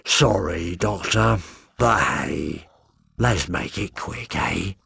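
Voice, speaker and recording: A man's voice: creaky, bright, forceful tone, breathy edge, no room sound, exaggerated voice. A man doing a regretful clawed beast. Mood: apologetic. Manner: low, slow, regretful. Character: huge clawed beast-man, apologetic and resigned.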